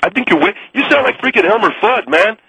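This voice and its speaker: A brash young male caller with a husky, fairly high voice that swoops around a lot as he argues and mocks. The sound is dry and close, with no room around it. He talks crudely and needles the other people on the line. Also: animated, quick pace, pushed and bright, no room sound, poor audio. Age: young adult. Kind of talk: heated talk radio call.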